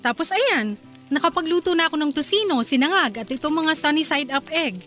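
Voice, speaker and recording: Radio drama: a bashful young wife, high and sweet, faint music behind. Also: female voice, expressive, a little fast, fairly bright tone. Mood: tender. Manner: sweet, shy, giggly.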